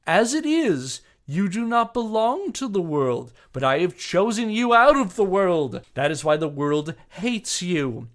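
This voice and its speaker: Man performing a loud, grandiose, indignant attorney. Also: high-pitched, big pitch swings, a little slow, hardly any pauses. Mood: solemn, preachy. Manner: grandiose, preachy, emphatic oratory. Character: indignant, self-righteous male attorney delivering a defiant grievance speech. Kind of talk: dramatic reading of a legal statement.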